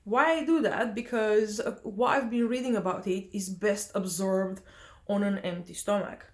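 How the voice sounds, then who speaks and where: A woman's voice: mid-range voice, fairly even intonation, moderate pace. A young woman from Romania, chatting casually in a vlog, hesitant with many fillers and self-corrections. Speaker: vlogger.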